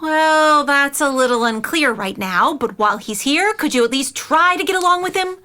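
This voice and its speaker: A woman's voice: high-pitched, animated, moderate pace, sharp tone. A woman playing an anxious, caring middle-aged aunt and mother, earnest and pleading, in an audio drama.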